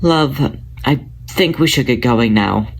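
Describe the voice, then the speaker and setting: A man voicing a protective older male cat in a storybook reading, speaking softly and steadily. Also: medium pitch, lively intonation, takes it slowly, gravelly, husky, heavily acted. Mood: tender.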